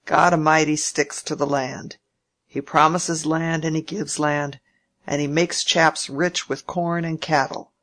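A reader doing a gruff crafty old landowner. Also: mid-range voice, little pitch movement, unhurried, a bit bright. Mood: gruff bargaining. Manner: blunt country speech, bargaining and scolding. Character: grumbling old male landowner, crafty and domineering. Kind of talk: audiobook character reading.

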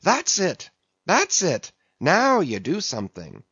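A male narrator plays a jovial old-time whaleboat mate urging on his oarsmen. The voice is pitched high and swoops widely, coaxing, drawling and mock-scolding by turns. The recording is slightly dull. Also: huge pitch swings, slow, a bit bright, husky, no room sound, heavily acted. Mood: mock fury. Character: jovial, cajoling whaleboat mate driving his rowing crew. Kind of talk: classic sea novel audiobook character.

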